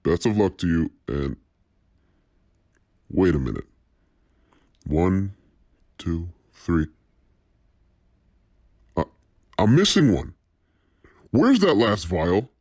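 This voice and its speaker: A man's voice: sits low, big pitch swings, takes it slowly, pauses often, a little mellow, no echo, full character voice. A man playing a cold, menacing crime boss in an audio drama, commanding and threatening. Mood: calm, businesslike, then suspicious. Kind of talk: dramatic audio scenario acting.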